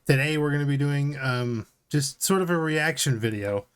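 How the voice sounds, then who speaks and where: A man's voice: a little high, normal speed, clean tone. A man hosting a casual reaction show, commenting in a loose, conversational way. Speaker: host.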